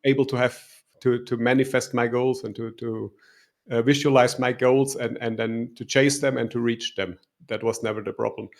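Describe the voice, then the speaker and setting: Middle-aged man, german accent, gravelly voice, thoughtful and hesitant, interview guest. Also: medium pitch, level tone, normal speed. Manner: reflective, hesitant, with restarts and "um"s. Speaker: guest, life coach. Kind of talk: interview answers.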